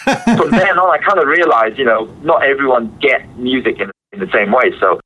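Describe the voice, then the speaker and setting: A man's voice: mid-range voice, a little fast, slightly rough. A man from Hong Kong whose first language is Cantonese, a guitarist chatting casually as a guest in a phone interview, heard over a phone line. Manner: relaxed, chatty, laughs easily. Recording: phone-line call audio.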